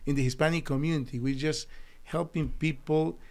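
Salvadoran-accented man, fairly high voice. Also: steady tone, a little mellow. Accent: Salvadoran Spanish. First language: Spanish. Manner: halting, earnest storytelling with broken English. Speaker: guest, naturopathic doctor. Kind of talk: studio interview. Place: small studio with foam wall panels. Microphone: desk podcast mic.

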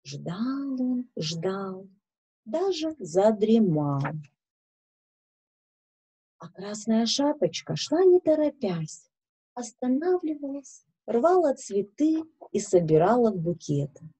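A woman reads to children with a slow, careful delivery. Her voice is mellow and slightly husky, expressive and instructive throughout. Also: fairly high pitch. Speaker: children's story reader. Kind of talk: storytelling to children. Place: small indoor room with bookshelves.

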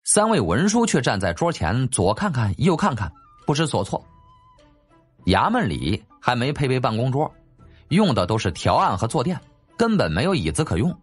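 A man's voice: mid-range voice, very animated, normal speed, breathy edge, soft background music. A man narrating an audiobook in a dramatic, scripted storytelling style.

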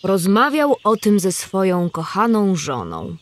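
Slow-paced woman storyteller. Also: medium pitch, huge pitch swings, no room sound. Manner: explanatory, addressing young listeners. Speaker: narrator. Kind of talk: scripted storytelling for children.

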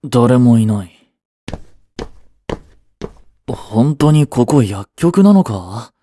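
A man's voice: medium pitch, animated, drawn-out words, mellow. A man playing a curt, sulky young boyfriend in a romance audio drama, with a blunt, muttering manner. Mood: hesitant, puzzled. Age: young adult. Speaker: voice actor.

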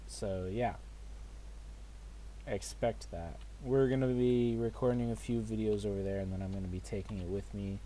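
A man's voice: on the low side, steady tone, moderate pace, dark tone, slight background noise, low-quality recording. A man hosting a gaming video, making dry, teasing remarks and telling viewers about upcoming videos. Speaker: gaming channel host. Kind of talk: casual gaming chat.

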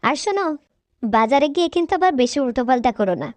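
Teasing, nagging village wife for comic animation; very high voice. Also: female voice, expressive, quick pace, dry recording. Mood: coaxing, teasing. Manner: coaxing, nagging. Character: teasing village wife of a hot-headed husband. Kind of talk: comic cartoon acting in Bengali.

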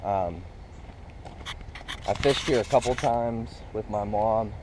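A man's voice: on the low side, takes it slowly, background noise. A young American man chatting casually to viewers as a fishing vlogger, informal and recorded outdoors on an action camera. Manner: informal, addresses viewers as guys. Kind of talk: casual vlog chat.

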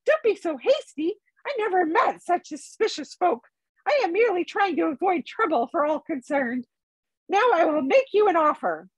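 Lady doing a squeaky little hobbit guy from a storybook, fussy n polite, voice swoops around way up high. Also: big pitch swings, normal speed, no room sound, low-quality recording. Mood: flustered, insistent. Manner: fussy, chatty, hedging politeness. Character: small, fussy, polite male hobbit, nervous but plucky.

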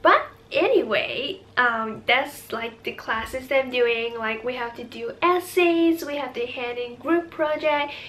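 High-voiced young Hong Kong woman chatting. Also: unhurried, hardly any pauses, fairly bright tone. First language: Cantonese. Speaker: vlogger. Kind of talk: casual chit-chat to camera.

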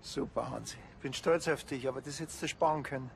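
Man playing creaky, deadpan Bavarian cop. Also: mid-range voice, fast, a little hiss or hum, close to an everyday voice. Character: dry, sly, unflappable male Bavarian police officer.

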